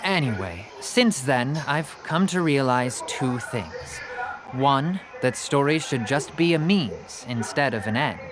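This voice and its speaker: A woman's voice: rumbling low, animated, slow, noisy background, full character voice. A woman voicing a casual, generous, persuasive merchant in a video game. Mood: earnest, persuasive.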